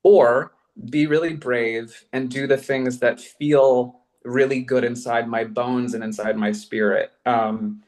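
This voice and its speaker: An American man with a medium-pitched voice talks as an artist reflecting on his work. He is earnest and articulate, choosing slightly lofty words in a conversational panel setting. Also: fairly even intonation, slow, hardly any pauses, darkish tone. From Colorado, United States. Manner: earnest, articulate, elevated wording. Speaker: podcast creator and artist.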